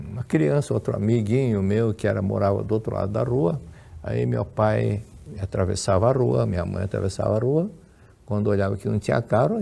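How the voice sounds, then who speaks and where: Older Brazilian male lecturer, low gravelly voice, unhurried storytelling teacher. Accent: Brazilian Portuguese. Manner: warm storytelling, repeats and restarts words. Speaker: religious lecturer. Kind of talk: religious teaching with Q&A.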